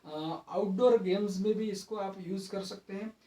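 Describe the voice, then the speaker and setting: Male, Indian accent, soft mellow tone, halting explanatory delivery with English terms, roomy distant recording. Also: sits high, steady tone. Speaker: presenter of a parenting and child development video. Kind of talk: informal explainer talk to viewers. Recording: echoey room, distant microphone.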